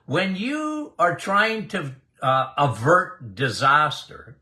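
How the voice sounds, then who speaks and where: Give me a loud, emphatic older doctor with a bright, high voice who talks unhurriedly. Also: male voice, huge pitch swings, takes it slowly. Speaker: doctor and podcast host. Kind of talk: casual health talk monologue.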